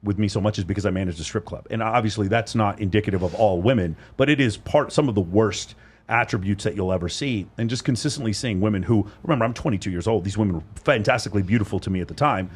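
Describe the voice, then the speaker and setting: Male podcast host, thirties, husky and low, rapid emphatic delivery with restarts. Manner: fast, emphatic, repeats words as he restarts. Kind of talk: casual panel discussion.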